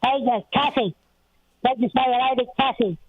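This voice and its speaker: A man's voice: very high-pitched, a little slow. A man calling in to a live radio show, playful and teasing. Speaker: radio caller. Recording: tinny phone line.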